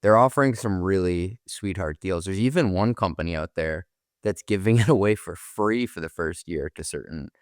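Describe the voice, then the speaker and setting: A man's voice: deep voice, normal speed, no room sound, studio quality. A man co-hosting a business podcast, talking casually in informal, colloquial language. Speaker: podcast co-host.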